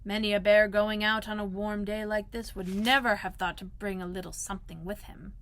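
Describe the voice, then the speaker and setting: A woman voicing a gentle, slow-witted male teddy bear in a storybook, earnest and pondering. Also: medium pitch, flat delivery, close to an everyday voice.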